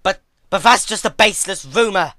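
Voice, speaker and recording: Young female pony, cruel and indignant played by a man; high, swooping delivery for a dark story reading. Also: lively intonation, moderate pace, no echo, clean studio sound. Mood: indignant disbelief. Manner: stammering, shouting in disbelief.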